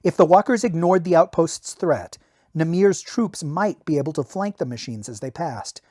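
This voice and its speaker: A man's voice: a little high, normal speed, mellow, dry recording. A man who hosts a podcast, speaking in the style of an amateur audiobook narrator in a close home recording. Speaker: podcast host reading a novel excerpt.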